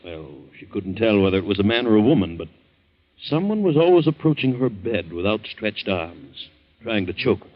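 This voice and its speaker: An actor playing a measured family doctor in a 1940s radio drama, speaking in a hesitant, deliberate way. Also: male voice, lowish voice, very animated. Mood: grave, reflective. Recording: dull vintage 1940s radio recording.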